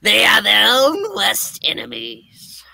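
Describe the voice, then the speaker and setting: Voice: mid-range voice, huge pitch swings, slow pace, creaky, pushed and bright, no echo, voice pushed up, exaggerated voice, poor audio. A performer voicing a gloating, ranting male hobgoblin supervillain in a grandiose way, as in a live comic book reading. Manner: theatrical, grandiose.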